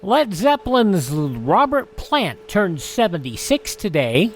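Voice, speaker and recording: A man's voice: sits high, big pitch swings, slow, vocal fry, loud music behind the voice. A man hosting a radio show, announcing in the style of a presenter reading a roundup.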